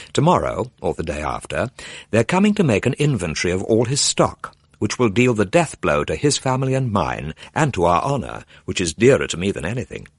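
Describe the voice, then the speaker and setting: Imploring gentleman correspondent, read by a male narrator: formal, earnest, low and husky with animated phrasing. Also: dry recording, clean studio sound, full character voice. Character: earnest, pleading male letter writer, anxious about honour.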